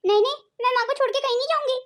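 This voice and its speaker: A woman's voice: very high-pitched, racing, background noise. A woman voicing a dutiful, polite young daughter-in-law in a Hindi cartoon family drama. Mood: worried. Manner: polite, deferential.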